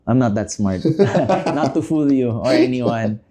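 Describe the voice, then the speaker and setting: A man, a podcast guest who talks through his answers with many pauses and self-deprecating asides. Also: medium pitch, animated, mellow. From Philippines. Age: 30s. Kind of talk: casual interview chat.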